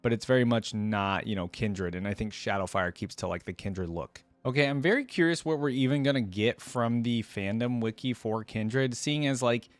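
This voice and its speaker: A man's voice: mid-range voice, moderate pace, hardly any pauses, no room sound, faint music behind. A man hosting a reaction video, talking informally and thinking aloud as he gives his commentary. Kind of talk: casual reaction commentary, reading aloud at times.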